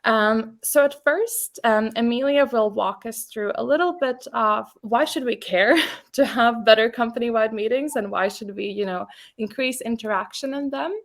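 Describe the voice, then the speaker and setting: A woman presenting a webinar over a computer mic, her slightly high voice carrying a gravelly fry. She talks casually and warmly to her audience, leaning on fillers as she goes. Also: moderate pace. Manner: casual, friendly, many fillers. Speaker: webinar host, content marketing specialist.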